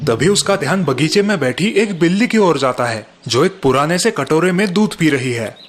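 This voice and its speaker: A man's voice: fairly high pitch, expressive, normal speed. A man narrating a scripted story as its storyteller.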